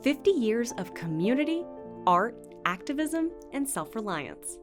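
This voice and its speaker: A woman's voice: a little high, big pitch swings, a little slow. A woman with an American accent reading scripted narration for a television feature. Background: background music.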